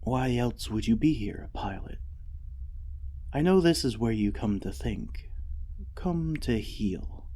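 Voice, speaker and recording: Guy doing a devoted robot mech ai, soft asmr roleplay, low mellow voice, slow n soothing, kinda hesitant. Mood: tender, consoling. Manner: soothing, earnest, hesitant, often dropping to a whisper. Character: gentle, devoted mech artificial intelligence comforting its pilot.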